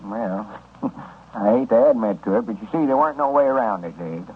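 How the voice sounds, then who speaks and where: An actor playing a folksy rural storekeeper with an Arkansas country drawl in a 1930s radio comedy. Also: male voice, mid-range voice, animated, brisk, close to an everyday voice. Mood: resigned, rueful. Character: folksy rural storekeeper, reluctant partner in his friend's schemes. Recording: muffled, noisy 1930s radio recording.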